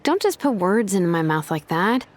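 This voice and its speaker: A woman voicing a lively, cheeky young woman who banters and protests playfully with her old companion. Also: medium pitch, moderate pace, lightly acted. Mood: teasing. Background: game music.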